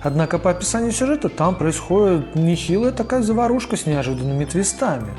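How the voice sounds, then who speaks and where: A man's voice: high-pitched, expressive, fast talker. A man hosting a video with scripted narration and informal, slangy asides. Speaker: video host. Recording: faint music under voice-over.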